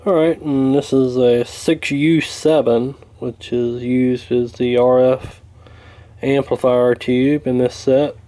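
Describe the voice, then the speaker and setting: A man giving a casual hands-on repair walkthrough in a folksy, hesitant, unhurried way. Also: medium pitch, flat delivery, mellow. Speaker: radio repair hobbyist demonstrating.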